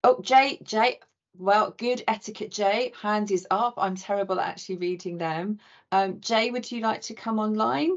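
A woman's voice: mid-range voice, normal speed. A middle-aged woman hosting an online book talk from a room with bookshelves, effusive and rambling, with many restarts. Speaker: host and interviewer. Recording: dull lo-fi video call audio.

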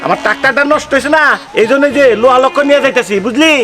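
An actor playing a gullible village uncle in a comic skit, speaking in loud exclamations. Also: male voice, very high voice, rapid-fire, music in the background. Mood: easygoing, reluctant. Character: gullible village uncle carting scrap iron. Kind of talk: low-budget comic skit acting.

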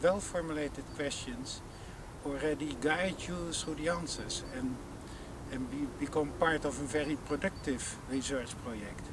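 A man's voice: on the high side, unhurried. An older man lecturer gives thoughtful, hesitant advice to students, speaking outdoors in open grassy dunes. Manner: hesitant, thoughtful, with many fillers. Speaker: course founder and lecturer. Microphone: camera mic at a distance. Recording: distant outdoor mic with loud wind.

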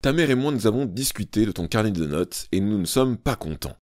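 A man reading aloud who voices a tyrannical, pompous middle-aged father who blusters and shouts. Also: lowish voice, expressive, brisk, dry recording, clean studio sound, voice pushed up, natural voice.

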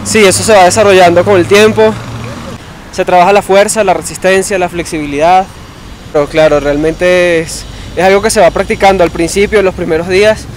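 A young man from Venezuela, an aerial acrobat, giving thoughtful, measured answers on a street corner. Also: sits high, fairly even intonation, slight background noise. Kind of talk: casual interview answers. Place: street corner outdoors by traffic.

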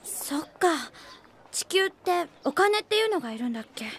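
A woman's voice: very high-pitched, big pitch swings, normal speed, a bit bright, exaggerated voice. A woman voicing a naive, curious young princess in a cartoon, with a guileless, airy manner. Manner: guileless, airy, wide-eyed. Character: young girl princess from another star, naive, dreamy, curious. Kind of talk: animated cartoon acting. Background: music.

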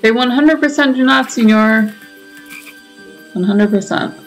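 A woman's voice: on the high side, a little slow, low-quality recording. A woman hosting a gaming video with casual commentary as she plays. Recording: faint game music behind. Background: game music and dialogue.

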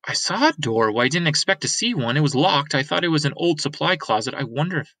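A man's voice: fairly high pitch, animated, fast, sharp tone, no echo, heavily acted. A man voicing a serious, curious boy in a plain, matter-of-fact way for a storybook read-aloud. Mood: thoughtful. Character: serious, curious boy who works underground.

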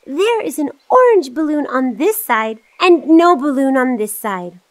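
A woman's voice: high-pitched, very animated, no room sound. A woman voicing a cheerful, curious little girl who kindly guides young viewers in a children's cartoon. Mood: excited, encouraging. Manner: slow, clearly enunciated, questioning, exclaiming. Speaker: host character of a children's cartoon.

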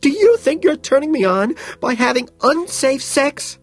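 A man putting on a high-pitched, exasperated young woman. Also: sing-song, unhurried, breathy edge, faint music behind. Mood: hysterical wailing. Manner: shrieking, indignant delivery. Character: irritable, exasperated young woman, gasping and shrill.

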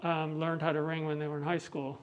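A middle-aged American man patiently explaining things in a measured way during an interview, as a bell ringer giving a tour. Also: fairly high pitch, completely flat delivery, lo-fi sound. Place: large indoor tower room. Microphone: clip-on mic on the chest.

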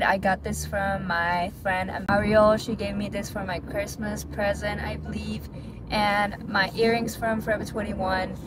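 A young woman from Japan, a native Japanese speaker, chatting casually to her phone camera, with many "like" fillers and quick self-corrections. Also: mid-range voice, heavy background noise. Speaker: vlogger and pro dancer. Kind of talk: casual vlog chat to camera. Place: bathroom, then parked car.

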